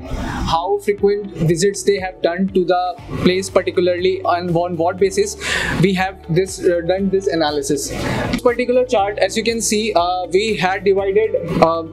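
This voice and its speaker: A man presenting a data analysis project in a classroom, hesitant and full of fillers and restarts. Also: high voice. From India. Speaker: student presenter. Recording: background music under voice.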